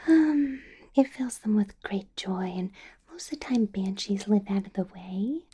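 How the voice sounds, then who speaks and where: A woman's voice: medium pitch, expressive, warm tone. Woman as a whispering, possessive vampire boss. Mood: musing, coaxing. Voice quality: breathy. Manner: slow, coaxing, intimate whisper with murmured hums. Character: possessive, doting female vampire boss, smooth-talking and money-minded. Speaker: ASMR roleplay performer. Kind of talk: whispered ASMR roleplay.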